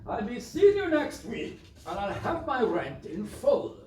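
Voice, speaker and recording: A man's voice: very high-pitched, wildly swooping pitch, unhurried, a little mellow, exaggerated voice. A man playing a pompous, greedy, blustering squire and landlord in a stage pantomime. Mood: smug, menacing. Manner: blustering, pompous, hesitant mumbled asides. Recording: echoey noisy stage, recorded from far off.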